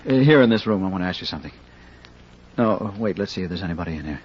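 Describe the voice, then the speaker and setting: An actor playing an earnest, anxious amateur sleuth in an old-time radio detective drama, speaking in a halting, confiding way. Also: male voice, low voice, expressive, slight background noise. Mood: urgent, confiding. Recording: dull, band-limited old radio recording.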